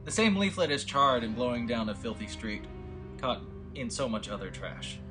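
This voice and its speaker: A man's voice: mid-range voice, very animated, moderate pace. A man hosting a remote table read, chatting loosely with lots of fillers and then reading stage directions over a video call. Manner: rambling, filler-heavy, offhand. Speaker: writer and host of the table read. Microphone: video call with desktop audio. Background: score music.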